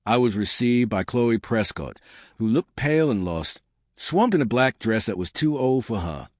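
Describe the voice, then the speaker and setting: A man's voice: mid-range voice, sing-song, normal speed, no echo, studio quality. A man narrating a scripted first-person mystery story as an audiobook.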